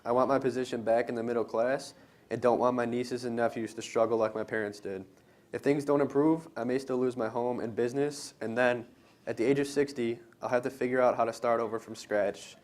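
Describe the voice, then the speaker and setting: A man's voice: mid-range voice, steady tone, brisk, darkish tone. Young man reading a script aloud, earnest. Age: young adult. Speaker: student presenter in readers theater. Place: college library event, live on stage.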